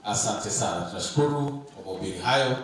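A man's voice: fairly low pitch, lively intonation, fast talker. Man, emcee, echoey PA from far off, crowd murmur, background noise. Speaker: master of ceremonies. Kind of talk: event announcements. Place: large tent at a ceremony. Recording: distant echoey PA in tent.

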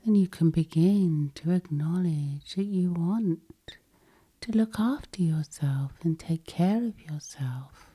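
A woman speaks as a calming sleep hypnosis guide. Her voice is low and extremely soft-edged, with a breathy, whispery texture. She goes along very slowly and gently, ASMR style. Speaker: hypnosis session host.

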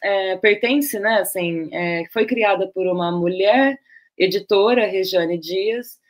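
A woman's voice: mid-range voice, slow pace. A Brazilian woman giving an academic talk over a video call, speaking loosely with many fillers and restarts. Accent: Brazilian Portuguese. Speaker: guest speaker. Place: home room, on a video call.

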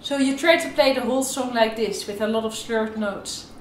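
Young woman violin teacher explaining stuff to camera, kinda high voice, echoey room, slightly non-native wording. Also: moderate pace, loud music behind the voice. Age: young adult. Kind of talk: instructional video tutorial, talking to camera. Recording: roomy echo, violin playing at times.

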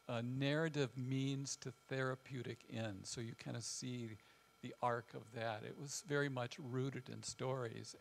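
An older American man with a Midwestern accent and a gravelly voice, giving an informal storytelling talk in a halting, reflective way with frequent pauses. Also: mid-range voice. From west central Illinois, United States. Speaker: guest speaker, family counselor. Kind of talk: informal presentation with personal storytelling. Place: large church hall with wooden floor, small seated audience.

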